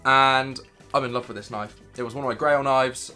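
A British man in his thirties with a croaky voice from illness, chatting enthusiastically about his gear to camera in a home studio. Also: medium pitch, fairly even intonation, moderate pace, bright, forceful tone, soft background music. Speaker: vlogger. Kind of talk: casual gear review to camera. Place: dim home studio room, indoors.

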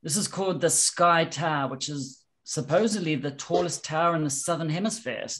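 A man in his forties with a softened New Zealand accent, a guest chatting casually on a video call through a headset, explaining in an animated way. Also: on the high side, moderate pace.

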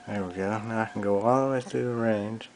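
A man's voice: lowish voice, steady tone, brisk. A man giving a terse, off-the-cuff technical demonstration in short step-by-step fragments, recorded on a handheld camera in a small workshop. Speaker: electronics hobbyist demonstrator. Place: small cluttered indoor electronics workshop. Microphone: handheld camera microphone. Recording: noisy handheld camera audio with hum. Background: hum and test tone from audio gear.

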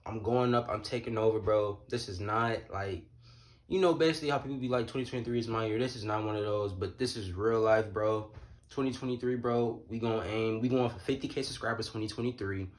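A man's voice: lowish voice, fairly even intonation, fairly quick. A twenty-year-old American man chatting casually to camera in a slangy, emphatic vlog style, repeating phrases for emphasis. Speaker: YouTube vlogger. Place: small indoor room at home. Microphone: phone or camera at arm's length.

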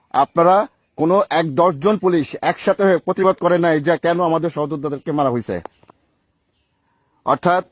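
A man's voice: on the high side, no echo. A Bangladeshi man on a recorded phone call, delivering stern accusations in a declamatory, speech-like way as a group's leader. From Gopalganj region, Bangladesh.

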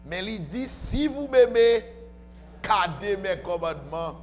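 A man's voice: high-pitched, animated, slow pace. A man preaching to a congregation as pastor, emphatic and often asking questions, on a handheld mic in a church. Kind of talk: sermon. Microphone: handheld microphone, filmed from far camera. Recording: church hall reverb with faint music.

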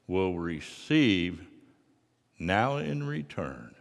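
A middle-aged man preaches from a large church sanctuary on a headset mic. His lowish voice swings widely, dropping to quiet asides and climbing into loud, fervent stretches. A long hall reverb trails each phrase. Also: sing-song, extremely slow. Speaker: pastor. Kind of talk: sermon.

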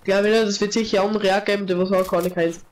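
A man's voice: high-pitched, little pitch movement, fast talker. A teenage boy chatting with a teammate during a game, making dry, offhand remarks. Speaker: gaming teammate. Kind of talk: casual gaming chat.

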